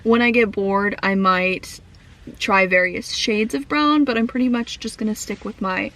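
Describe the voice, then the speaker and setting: A young woman talks straight to her camera in a loose, rambling way, with plenty of fillers and restarts. Her voice is croaky from a cough that has not gone away yet. She sounds casual and at home. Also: mid-range voice, moderate pace, fairly bright tone. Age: young adult. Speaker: vlogger.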